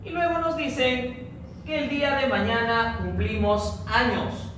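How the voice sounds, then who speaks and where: A man teaching a recorded online training lesson for teachers, explaining a logic problem slowly and step by step. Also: extremely high pitch, fairly even intonation, fairly bright tone. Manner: slow, methodical, explains step by step. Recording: echoey room, distant mic, noisy.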